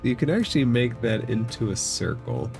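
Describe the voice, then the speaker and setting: A man's voice: mid-range voice, big pitch swings, normal speed, gravelly. A man running a tabletop roleplaying game as game master, casually clarifying rules and repeating his phrases. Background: background music.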